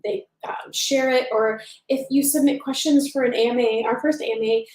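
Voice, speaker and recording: A young woman from Seattle talks upbeat and eager on a home live stream, quick to chime in. Her voice sits a little higher than most women's. The room gives her voice a clear echo. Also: fairly even intonation, a little mellow. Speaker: co-host of a couple's channel. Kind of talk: casual live-stream chat.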